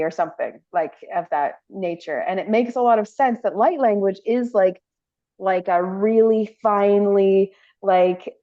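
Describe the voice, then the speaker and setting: A woman's voice: mid-range voice, dark tone, dull audio. A woman hosting a casual chat show, telling stories with frequent laughter and fillers. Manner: storytelling, laughs often, many fillers. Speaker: podcast host.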